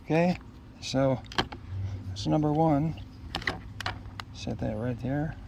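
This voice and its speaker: Gravelly-voiced man thinking aloud, noisy recording. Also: a little high. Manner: unscripted, halting, thinking aloud. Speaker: product unboxer. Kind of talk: casual unboxing chat.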